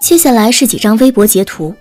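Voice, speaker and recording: A woman narrates a novel in a fairly high, lively voice with wide swings of pitch. Music plays beneath her scripted narration. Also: brisk. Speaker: audiobook narrator.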